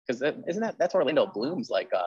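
A man's voice: mid-range voice, sing-song, brisk, darkish tone, highs cut off. A man hosting a casual interview about genre fiction, talking in a rambling way full of filler phrases. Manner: rambling, peppered with "like" and "you know". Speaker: interviewer and host; history teacher.

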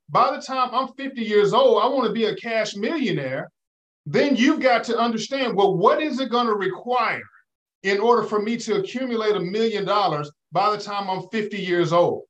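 High-voiced man giving financial advice. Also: a bit bright, lo-fi sound. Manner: preacherly, asks rhetorical questions, checks in with "okay?" and "right?". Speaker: financial advisor guest. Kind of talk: call-in advice talk.

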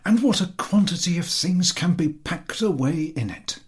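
A man's voice: high-pitched, expressive, normal speed, slightly rough, voice pushed up, heavily acted. A man voicing an eager, boastful landowner who fawns effusively on his superior in a story reading. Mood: boastful, delighted. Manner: obsequious, effusive, over-polite.

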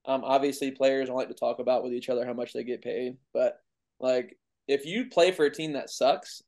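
A young man in his twenties chats easily as a podcast guest. His voice sits at an ordinary middle pitch. He rambles loosely and keeps saying "like" as he explains things. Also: moderate pace. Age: 20s. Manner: relaxed, rambling, heavy use of "like". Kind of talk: casual podcast interview.

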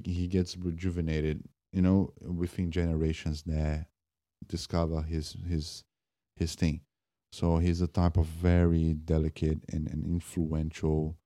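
Low, dark-voiced man musing. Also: no room sound, studio quality. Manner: halting, many restarts and fillers. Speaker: podcast host. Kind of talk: casual spoken music review.

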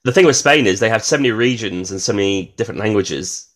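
A man hosting a podcast, relaxed and thinking aloud as he asks questions. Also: on the low side, quick pace. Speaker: podcast host. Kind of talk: casual interview.

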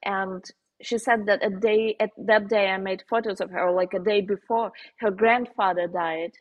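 A woman painter with a Slovenian accent, speaking as a guest in a casual interview, pausing often with fillers and restarts. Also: mid-range voice, steady tone, highs cut off. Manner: halting, many fillers and restarts, laughs often.

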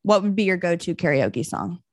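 A woman hosting a podcast interview over a video call, firing off quick, upbeat questions with quips. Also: lowish voice, sing-song, no room sound, studio quality. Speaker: podcast host. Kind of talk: interview, rapid fire questions.

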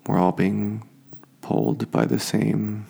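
A tired man hosting a sleep podcast, speaking softly in an unhurried, relaxed and understated ramble. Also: on the low side, gravelly, breathy edge. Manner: slow, deliberately relaxed, understated. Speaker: podcast host. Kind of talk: soft-spoken rambling sleep podcast monologue.

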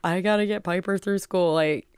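A woman in her forties with a low, smooth voice talks frankly and swears freely. Her speech comes across crisp and dry, with no room sound around it. She speaks with a blunt, unguarded candor. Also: fairly even intonation, moderate pace, darkish tone, no echo. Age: 40s. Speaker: podcast guest. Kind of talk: candid casual conversation.

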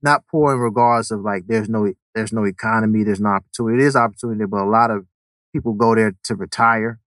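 A man from Connecticut in a relaxed group conversation, talking loosely and repeating words. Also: on the low side, quick pace, no echo. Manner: laid-back, repeats words, lots of "you know what I mean". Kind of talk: casual chat.

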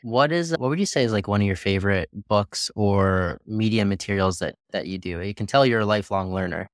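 A man's voice: lowish voice, a little fast, dry recording, clean studio sound. A man hosting a podcast interview, asking questions in a friendly, conversational way. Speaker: podcast host.

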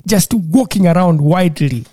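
Dark-voiced man from Uganda, earnest. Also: high-pitched, normal speed, no echo, clean studio sound. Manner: earnest, repeats and restarts words, laughs between stories. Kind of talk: casual interview talk.

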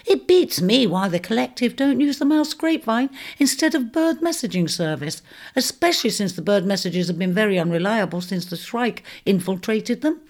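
A woman voicing an excitable, gossipy little male mouse messenger who chatters on without pause. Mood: chattering. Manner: chattering, shrill and breathless.

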